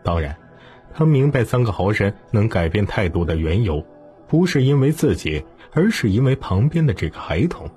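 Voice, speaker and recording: A man narrating a historical novel as an audiobook in a dramatic, expressive storytelling manner. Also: fairly low pitch, normal speed, faint music behind.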